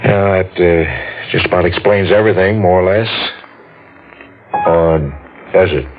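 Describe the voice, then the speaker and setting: An actor playing a calm, matter-of-fact police sergeant with a measured, dry manner in 1940s radio drama style. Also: male voice, sits low, soft background music. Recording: tinny old radio recording, faint noise.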